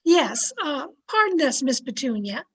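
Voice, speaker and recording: A long-suffering, well-mannered wife acted by a woman in an old radio comedy. Her high voice moves up and down a lot as she politely corrects. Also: sing-song, unhurried, a bit bright, full character voice. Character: patient but weary wife, politely correcting her husband. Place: at home on a video call.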